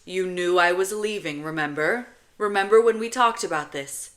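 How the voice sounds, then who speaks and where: A woman's voice: fairly low pitch, moderate pace, a bit bright, lightly acted. A woman voicing a blunt, protective young male soldier and older brother in an audiobook. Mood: concerned. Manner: stern, blunt, commanding.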